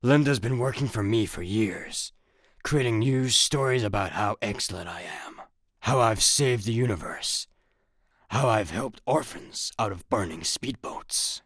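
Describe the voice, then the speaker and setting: A smug action hero gone bad, in a sci-fi radio comedy. He is breezy, deadpan and pleased with himself. The sound is close and dry. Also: lowish voice, a little slow, no echo. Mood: smug, unapologetic. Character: smug fake action hero turned criminal mastermind. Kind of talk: comic sci-fi radio play acting.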